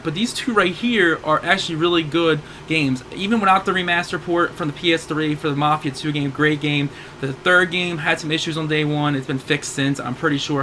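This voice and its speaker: Hoarse, bright-voiced gaming host. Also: male voice, fairly high pitch, a little hiss or hum. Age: 30s. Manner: rambling, self-correcting, upbeat. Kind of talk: casual game sale rundown.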